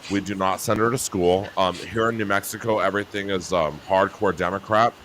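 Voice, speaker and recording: A man with a deep voice talking casually as a guest on a chat show, laughing often. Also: fairly even intonation, a little fast, a little hiss or hum. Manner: laughing, breaks into high shouty voice when mimicking himself. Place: New Mexico home.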